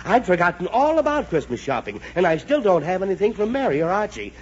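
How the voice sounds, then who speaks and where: A man's voice: high-pitched, very animated, quick pace. An actor playing a flustered, exasperated middle-aged father in a 1940s radio comedy, blustery and harried. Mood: flustered, polite. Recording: muffled, noisy 1940s radio recording.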